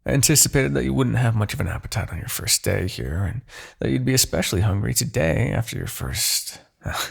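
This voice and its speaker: A man playing a haughty, seductive demon king in a fantasy audio roleplay, persuading with silky condescension, dramatic pauses and a gravelly voice. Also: expressive, heavily acted. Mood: composed, courteous. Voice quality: gravelly, creaky low voice. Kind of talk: fantasy ASMR roleplay monologue.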